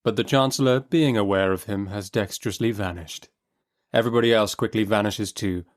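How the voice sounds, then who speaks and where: A man's voice: fairly low pitch, brisk. A man giving a dramatic, literary audiobook narration. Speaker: narrator and host.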